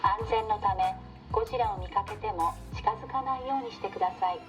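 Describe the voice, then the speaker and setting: A woman reading a formal public safety announcement over a loudspeaker system in a measured announcer tone. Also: mid-range voice, music in the background.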